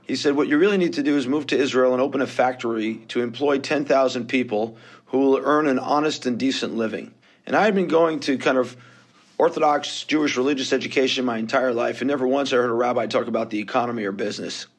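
A man's voice: medium pitch, fast talker. A man in his fifties with a New York accent, telling his story as a guest on a podcast interview in a candid, self-deprecating way. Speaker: guest investor.

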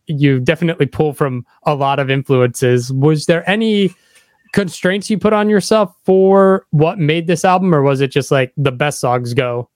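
A man's voice: mid-range voice, no echo, studio quality. A man hosting a podcast, asking questions in a casual, conversational interview style.

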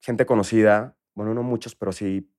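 A man in his thirties from Mexico with a Mexico City accent, a guest in a casual interview, talking in a relaxed, rambling way with many fillers. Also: lowish voice, moderate pace. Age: 30s. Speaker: guest entrepreneur.

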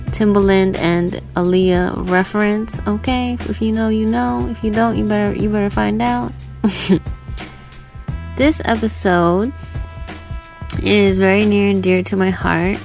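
A woman's voice: mid-range voice, fairly even intonation, slow, creaky, long pauses, a little mellow, soft background music. An American woman from New York hosting a podcast, chatting in an informal, slangy way. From New York, United States. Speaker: podcast host. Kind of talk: casual podcast chat.

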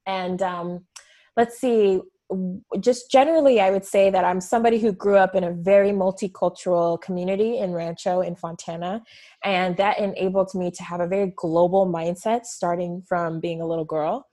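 A young woman from Southern California, a podcast guest talking in a lively, enthusiastic way and laughing often. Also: lowish voice, moderate pace. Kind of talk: casual interview chat.

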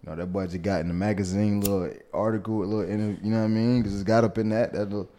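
A soft-spoken man with a Southern US accent, chatting casually as a podcast guest. His voice sits a bit low and stays gentle. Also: fairly even intonation, very fast. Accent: Southern US, Atlanta area. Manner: soft-spoken, says he has a soft voice. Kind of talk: casual chat.

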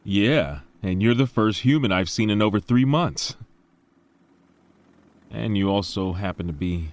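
Deep-voiced awkward billionaire inventor. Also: male voice, expressive, a little slow, a little mellow. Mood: awkward, nervous. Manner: awkward, conversational, explanatory. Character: socially awkward male billionaire inventor, proud and prickly. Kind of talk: naturalistic sci-fi audio drama acting.

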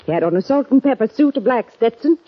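Prickly, husky frontier landlady. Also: a little high, expressive, fast. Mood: guarded, uneasy. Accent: American. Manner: curt, defensive, folksy grammar. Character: prickly, defensive frontier rooming-house landlady. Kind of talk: old-time radio western acting. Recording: dull, muffled vintage radio recording.

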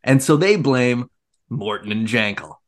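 A man co-hosting a casual film discussion, retelling things in an animated way with sarcastic asides and mock quotes. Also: mid-range voice, a little slow. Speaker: podcast co-host.

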